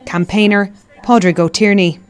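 A woman reporter, narrating a news package calmly and evenly. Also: medium pitch, normal speed, faint music behind.

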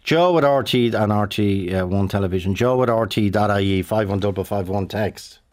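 A man's voice: on the low side, normal speed. A man in his sixties with a Dublin Irish accent, hosting a live phone-in radio show in a chatty way, with many fillers and restarts. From Dublin, Ireland. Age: 60s. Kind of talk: live call-in radio chat. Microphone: studio mic.

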